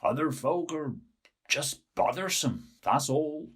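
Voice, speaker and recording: Man acting a husky, grumpy old loner. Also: fairly high pitch, animated, takes it slowly, heavily acted. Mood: grumpy, dismissive. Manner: curt, muttering, dismissive.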